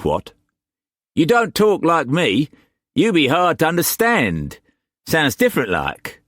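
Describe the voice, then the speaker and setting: Man doing a rough Cockney pirate boss. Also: fairly high pitch, huge pitch swings, slow pace, fairly bright tone, dry recording, clean studio sound, pitched above the performer's own voice, full character voice. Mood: boisterous. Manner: rough, jovial, menacing. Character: boisterous pirate chief man in Cockney slang.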